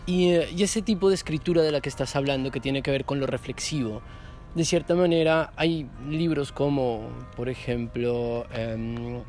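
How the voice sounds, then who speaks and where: A man's voice: mid-range voice, steady tone, normal speed, a little mellow, soft background music. A man interviewing someone about literature, putting long, reflective questions and rephrasing as he goes.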